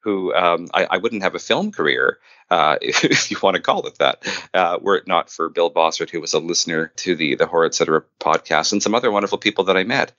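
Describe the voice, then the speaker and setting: Low-voiced man on a dull line. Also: fast, sharp tone, no room sound. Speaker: podcast guest, filmmaker. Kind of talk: casual interview chat. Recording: dull, band-limited call.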